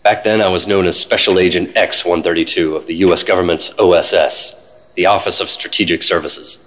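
An actor playing a secretive, world-weary 1950s government agent, terse and deadpan, narrating an old-time radio drama. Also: male voice, deep voice, fairly quick. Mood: weary, reflective. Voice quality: husky. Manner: terse, deadpan, hard-boiled.

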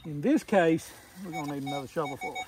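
A woman's voice: sits low, big pitch swings, quick pace, a little mellow, slightly rough. A woman with a Southern US accent chatting casually and in a folksy way to viewers while digging outdoors. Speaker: metal detecting vlogger. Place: outdoors on an old farm. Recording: outdoor camera audio with noise.

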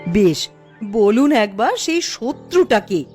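A woman's voice: a little high, sing-song, slow pace, darkish tone, faint music behind. A woman voicing a discontented, ruthless village wife in a cartoon, dramatic and scheming. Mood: cold menace. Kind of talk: Bengali fairy-tale cartoon voice acting.

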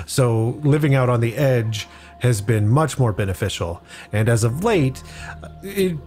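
Thoughtful man with a mid-pitched voice. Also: a little mellow. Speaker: guest player on a tabletop podcast. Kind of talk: improvised, reflective tabletop storytelling.

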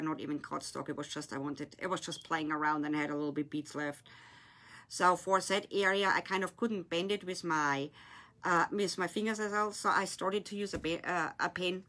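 A woman with a German accent, speaking English as her second language, hosts a live craft tutorial from a tabletop. Her voice is bright and forward, her grammar is loose, and she chats with viewers as she shapes things with her hands. It is picked up by a phone or camera on the desk. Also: medium pitch, slight background noise. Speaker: craft shop owner hosting a live tutorial. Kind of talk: casual live-stream demonstration. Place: indoor craft room, at a glittery table. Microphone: phone or camera on a desk, filming her hands.

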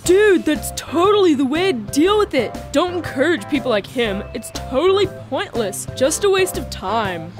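Blunt cartoon boy, brash, high pitch, lively swings, music behind. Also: normal speed, hardly any pauses. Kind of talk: animated cartoon dialogue. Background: background music.

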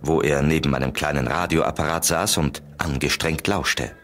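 A calm man narrates a children's audio drama. His voice is extremely deep, and he delivers the scripted story over faint music. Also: normal speed. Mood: calm storytelling.

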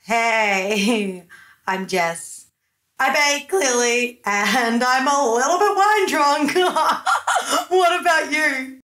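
Woman as a bright, tipsy Aussie baker. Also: mid-range voice, takes it slowly. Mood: tipsy, breezy. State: slightly drunk. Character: tipsy, breezy young Australian woman who bakes.

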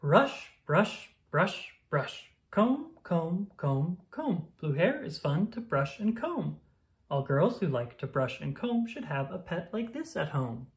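A woman reading a rhyming children's picture book aloud in a playful, sing-song, expressive manner. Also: fairly low pitch, slow pace.

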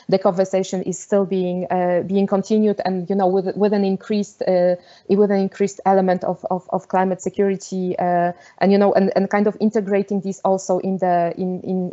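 Hesitant Polish-accented woman, mellow voice. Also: medium pitch, dull audio. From Poland. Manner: hesitant, many fillers and restarts. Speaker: webinar speaker. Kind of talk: online lecture with slides.

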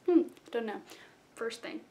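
A woman's voice: a little high, lively intonation, a little slow, mellow, a little hiss or hum. Chatty young woman rambling at a webcam. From South Florida. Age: young adult. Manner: rambling, self-deprecating, chatty. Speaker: vlogger. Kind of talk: casual rambling intro vlog. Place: small bedroom indoors. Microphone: webcam at close range.

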